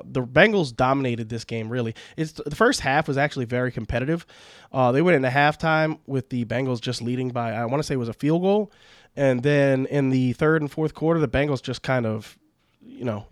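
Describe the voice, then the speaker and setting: A congested man with a cold talks through a game recap in a loose, rambling way, stumbling over restarts and leaning on fillers. His voice sits at an ordinary middle pitch. It is recorded close and dry, with no room sound. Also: smooth, no echo. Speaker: fantasy football podcast host. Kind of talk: casual solo sports recap.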